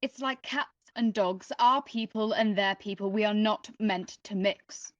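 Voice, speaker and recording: A woman's voice: mid-range voice, unhurried, dry recording, highs cut off, close to an everyday voice. A woman playing a stern, prejudiced, protective mother in an audio drama. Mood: cold, disapproving.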